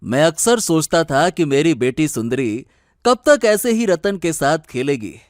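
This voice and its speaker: A man's voice: fairly high pitch, sing-song, a little slow, dry recording, clean studio sound. A man playing a kind, trusting younger brother who speaks earnestly and respectfully in a cartoon story. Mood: tender. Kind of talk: Hindi cartoon moral story acting.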